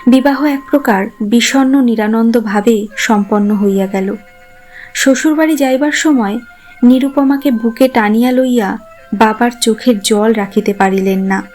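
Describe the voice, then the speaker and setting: Higher-voiced woman narrating a story over music. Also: moderate pace, a little mellow. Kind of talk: scripted literary narration in formal Bengali prose.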